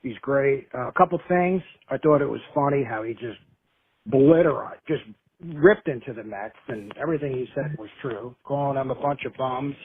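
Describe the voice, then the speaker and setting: A man's voice: medium pitch, normal speed, breathy edge, phone-quality sound. An American man from New Jersey chatting casually as a fan on a phone voicemail. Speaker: caller leaving a voicemail. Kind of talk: casual chat.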